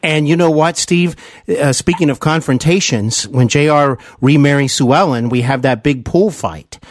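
Male interviewer, fairly high voice, deliberate and emphatic, conversational, recorded dry. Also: no room sound. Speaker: podcast interview host.

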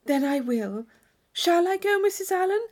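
A woman's voice: very high-pitched, expressive, normal speed, darkish tone, pitched above the performer's own voice. A woman voicing a naive, earnest young lady in an audiobook, eager and anxious, with a wondering, pleading manner. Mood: hesitant, anxious.

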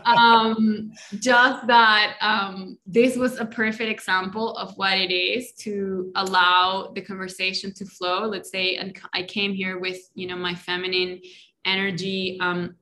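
A woman's voice: mid-range voice, level tone, a little slow, a little hiss or hum. A woman from Colombia with a Colombian Spanish accent, speaking English as a second language as a guest on a podcast interview. She talks in a reflective, casual way and often checks in with "right?" and "you know.". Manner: warm reflective talk with many "right?" and "you know" tags. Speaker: guest, life coach.

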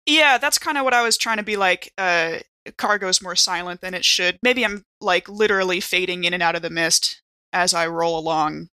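A woman's voice: on the low side, moderate pace, sharp tone, clear, resonant tone, no room sound. A woman playing a tabletop roleplaying game, chatting informally and improvising what her character does. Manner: informal, chatty, hedging with "kind of" and "like". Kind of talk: casual improvised game narration and banter.

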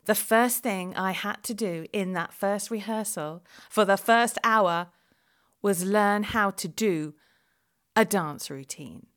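A woman's voice: medium pitch, slow, fairly bright tone. A middle-aged woman from England telling a chatty, self-deprecating diary-style account of her experiences. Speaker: vlog presenter. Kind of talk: first-person diary narration.

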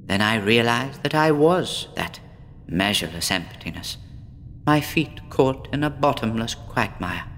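A man playing a despondent, withdrawn woman patient in a horror audio drama, speaking in a brooding, deliberate, theatrical way. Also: mid-range voice, animated, fairly bright tone, slight background noise. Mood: melancholy, despondent. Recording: long reverb with faint background ambience.

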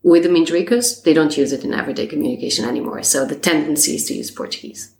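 Deep, gravelly woman. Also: fast talker, darkish tone. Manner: earnest, explanatory, some restarts. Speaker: guest linguist. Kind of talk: podcast discussion. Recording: roomy echo.

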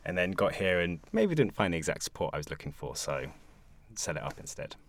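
Guest voice: young adult from England, low-pitched, earnest and reflective, dry booth sound. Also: male voice, big pitch swings, brisk, dry recording. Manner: reflective, earnest, many fillers. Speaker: guest, support group founder. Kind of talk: conversational interview.

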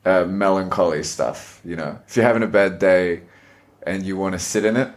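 A man with an Australian accent, co-hosting a podcast in relaxed, rambling casual chat. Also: low voice, normal speed. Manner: relaxed, self-deprecating, rambling. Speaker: podcast host.

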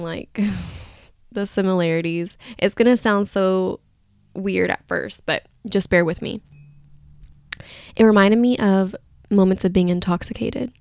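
A woman's voice: mid-range voice, warm tone, dry recording, studio quality. A woman hosting a podcast solo in a casual, chatty, rambling and candid way, with a slightly groggy voice. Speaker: podcast host.

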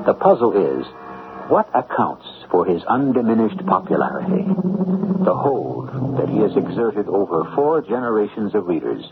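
Very low male voice, formal measured host, scripted narration, tinny old radio sound. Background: music.

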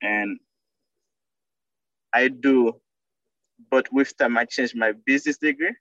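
A man in his thirties from Haiti with a Haitian accent, speaking earnest, halting English as a guest on a podcast. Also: medium pitch, a bit bright, no room sound, dull audio. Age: 30s. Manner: earnest, halting non-native English with simple phrasing. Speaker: doctoral student guest. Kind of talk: podcast interview.

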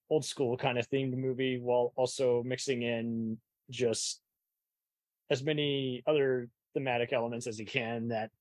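Man, casual interviewer, medium pitch, rambling hedged remarks, very dry sound without room echo. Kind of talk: casual chat.

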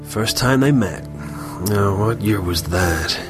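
An actor playing a devoted older father in an audio drama, gruff but gentle. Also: lowish voice, animated, slow, music in the background. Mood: musing.

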